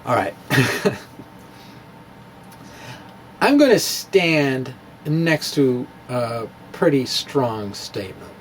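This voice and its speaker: Male physics blogger, slow and animated, wry humour, slight hiss behind. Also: mid-range voice. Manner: wry, playful, laughs at his own jokes. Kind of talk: dramatic reading of a blog lesson.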